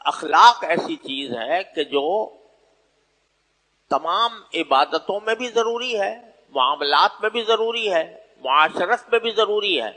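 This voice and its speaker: A man's voice: sits high, bright, forceful tone. Male preacher in a reverberant hall. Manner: deliberate, pausing between short phrases. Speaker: Islamic preacher addressing listeners. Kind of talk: sermon, unscripted religious exposition. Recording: echoey hall with long reverb.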